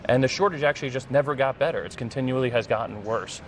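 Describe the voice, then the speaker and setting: A man's voice: medium pitch, quick pace, slight background noise. A middle-aged man with an American accent answering earnestly as a guest in a news interview, repeating phrases for emphasis. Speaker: interview guest, congressional candidate and former air traffic controller.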